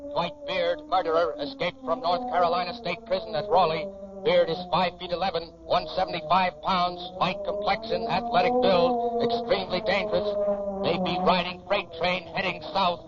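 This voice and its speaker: An actor playing an urgent police bulletin announcer, clipped and declarative, in a 1940s radio drama. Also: male voice, high-pitched, steady tone, normal speed, lightly acted. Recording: muffled old radio with loud music.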